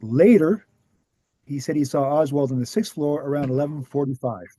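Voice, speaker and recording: Casting: man to play earnest male author-lecturer, very mellow and soft-edged, slightly high pitch, measured explanations, dry close sound. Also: no room sound, natural voice. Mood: measured, factual. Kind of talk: screenplay table read, explanatory lecture.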